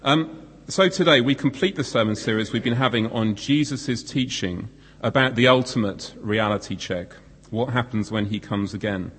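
A croaky man in his forties preaches in a conversational, self-deprecating way. His voice sits at an ordinary middle pitch. Long reverb from the church hall trails each phrase. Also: moderate pace, fairly bright tone. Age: 40s. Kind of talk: sermon.

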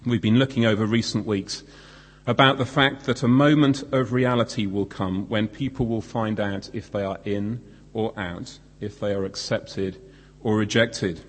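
Croaky-voiced man preaching in a hall. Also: on the low side, fairly even intonation, moderate pace. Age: 40s. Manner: self-deprecating, conversational. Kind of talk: sermon. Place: church hall. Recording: long hall reverb.

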